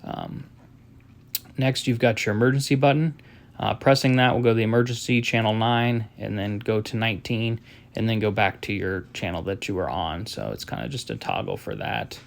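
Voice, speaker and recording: A man giving an informal step-by-step tutorial as a product demonstrator, explaining in a halting, matter-of-fact way. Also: fairly low pitch, gravelly.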